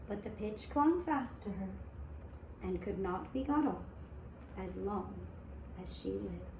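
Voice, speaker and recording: A woman narrating a fairy tale for children in a deliberate, expressive storytelling manner. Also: medium pitch, gravelly. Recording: echoey room with faint noise.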